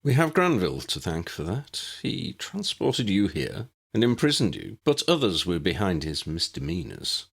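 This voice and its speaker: A man voicing a weary old wizard in an audiobook story, grave and courteous, with formal, measured phrasing. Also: on the low side, big pitch swings, creaky, breathy edge, heavily acted. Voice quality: cracked voice.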